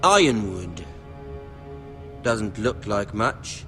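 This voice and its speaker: An actor playing a cruel, sadistically playful young lord in a fantasy game, taunting with deliberate mock politeness. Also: male voice, on the low side, very animated, sharp tone, full character voice. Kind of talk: dramatic fantasy game acting. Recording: music under dialogue.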